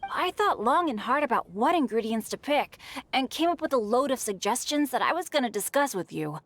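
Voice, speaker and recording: A woman's voice: a little high, very animated, a little fast, a bit bright, slight background noise. A woman voicing an earnest, upbeat, good-natured teenage adventurer boy in a video game. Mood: friendly, earnest.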